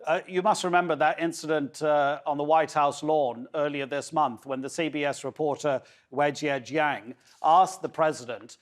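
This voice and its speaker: A man working as a news anchor, asking long, measured questions in a formal interview. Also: on the high side, fairly even intonation.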